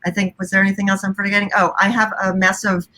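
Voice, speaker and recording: A woman from the Bahamas moderating a video-call panel, relaxed, with many fillers. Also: mid-range voice, fast talker. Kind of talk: casual panel introductions. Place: small bedroom.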